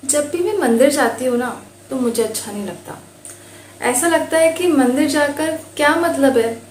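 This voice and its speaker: A woman's voice: high voice, normal speed. An Indian woman telling a moral story to listeners in a conversational way, mixing in English words. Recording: roomy echo with faint background noise.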